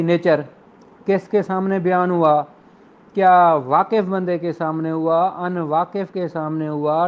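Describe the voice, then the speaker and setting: A man teaches in a didactic, step-by-step style. He slips into English phrases, which he reads out with deliberate care. The sound is somewhat dull and lo-fi. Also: sits high. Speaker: law lecturer. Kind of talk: explanatory law lecture with examples, code-switching into English.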